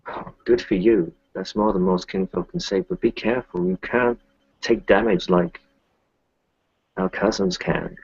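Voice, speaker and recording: A man playing a blunt, wary, sardonic fighter in a tabletop game, with a gravelly, husky voice. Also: low-pitched, a little slow, dry recording, heavily acted. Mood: cautioning. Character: wary, blunt, envious kinfolk man, cursing. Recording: muffled, dry close recording.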